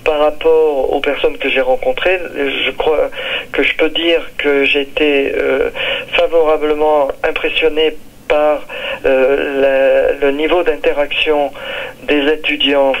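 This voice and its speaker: A man from Nice in southern France, a visiting research scientist, describing his trip haltingly with many pauses and fillers. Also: on the high side, level tone, muffled recording. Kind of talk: recorded interview testimony.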